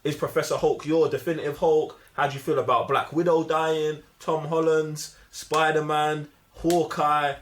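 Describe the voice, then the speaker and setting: A man's voice: fairly high pitch, level tone, moderate pace, hardly any pauses. A man with a London accent in casual, opinionated chat as a review co-host, full of slang, insistent and repeating himself for emphasis. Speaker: co-host of a film review show.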